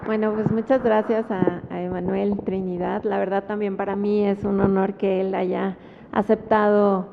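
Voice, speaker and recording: A woman with a Mexican Spanish accent gives an academic talk at a book presentation, pausing often and adding tag questions. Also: medium pitch, level tone, creaky, noisy background. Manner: thoughtful, with frequent fillers and tag questions. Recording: phone-like sound with long hall reverb.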